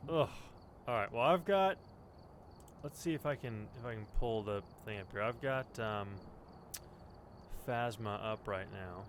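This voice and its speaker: A man's voice: on the low side, expressive, a little slow, pauses often, a little hiss or hum. A man co-hosting a podcast from his basement, chatting casually in a wry, self-deprecating way. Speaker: podcast co-host. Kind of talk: casual, joking chat. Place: basement at home.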